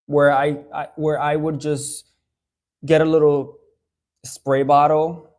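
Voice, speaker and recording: A man's voice: fairly high pitch, little pitch movement, slow, a little mellow. A young adult man from the Dominican Republic, chatting casually on a live stream and answering viewer questions with lots of fillers and restarts. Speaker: haircare brand owner answering live viewers.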